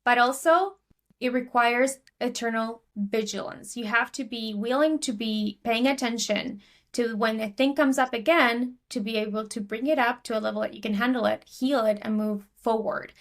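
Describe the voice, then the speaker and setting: Woman, Argentinian accent, slightly high voice, chatty guest. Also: normal speed. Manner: chatty, many fillers and restarts. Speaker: podcast guest. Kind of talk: casual interview talk.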